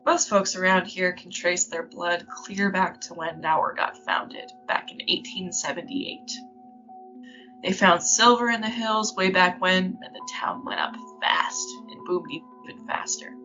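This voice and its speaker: An actress playing a wary, brooding small-town local, narrating a horror story in a colloquial, measured, ominous way. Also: female voice, lowish voice, sharp tone. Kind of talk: scripted first-person horror narration. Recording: muffled audio under prominent music.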